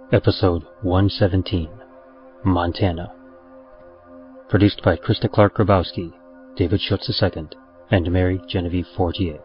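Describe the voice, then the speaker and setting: A man with a low voice delivers scripted podcast credits in a formal, measured announcer style. The sound is a little dull, with music playing clearly underneath. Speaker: podcast narrator reading credits.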